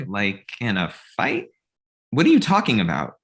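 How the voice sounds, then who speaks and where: A man's voice: fairly low pitch, huge pitch swings, measured pace, a bit bright, exaggerated voice. A man playing an anxious, bewildered young roommate in a comedy table read. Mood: concerned.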